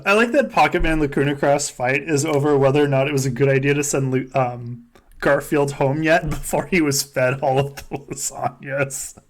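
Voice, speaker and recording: A man's voice: medium pitch, fairly even intonation, normal speed. A man hosting a podcast game in casual, joking chat, swearing freely as he goes. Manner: sweary, jokey. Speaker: podcast host running a fan survivor game.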